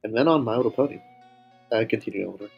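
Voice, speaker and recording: A man's voice: lowish voice, quick pace, vocal fry, slightly rough, lo-fi sound. A man co-hosting a livestream in casual banter. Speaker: livestream co-host. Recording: music playing behind the voice.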